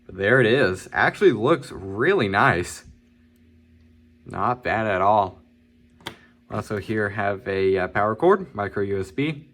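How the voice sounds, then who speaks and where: A man giving a casual, informal product review, talking in a halting way and hedging his opinions. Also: on the low side, animated, fairly bright tone. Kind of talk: casual unboxing and review talk.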